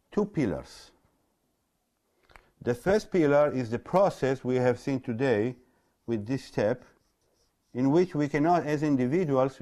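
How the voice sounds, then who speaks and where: Italian guy lecturing, dark mellow voice, kinda halting, repeats himself and asks rhetorical questions. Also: on the high side. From Italy. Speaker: religious lecturer. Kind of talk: explanatory talk to an audience.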